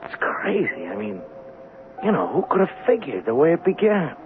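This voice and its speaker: Haunted young crook, slangy, stammering, swooping intonation, muffled old radio sound. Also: male voice, a little high, sing-song, moderate pace, background noise. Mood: bitter, agitated. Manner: stammering, streetwise, agitated. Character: young male hustler convict, jittery, haunted, slangy. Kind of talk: 1970s radio drama acting.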